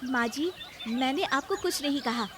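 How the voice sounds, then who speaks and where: Woman acting a high-voiced, nagging young daughter-in-law, noisy recording. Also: lively intonation, a bit bright. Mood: insistent. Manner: pleading, insistent, quick to retort. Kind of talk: acted children's cartoon story.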